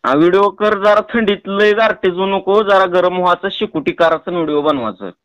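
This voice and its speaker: A man's voice: high-pitched, brisk, fairly bright tone, clean tone, dry recording. A man with a rural Marathi accent making a prank phone call, teasing and coaxing in a playful, pestering way. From Tuljapur, Maharashtra, India. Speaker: prank caller. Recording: close, dry phone call recording.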